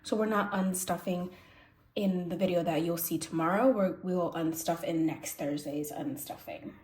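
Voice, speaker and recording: A woman's voice: fairly low pitch, moderate pace, darkish tone. A congested, sniffly woman hosting a budgeting vlog, chatting casually and correcting herself as she thinks aloud. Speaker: budgeting channel host. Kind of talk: casual cash-stuffing walkthrough. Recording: echoey room, faint noise.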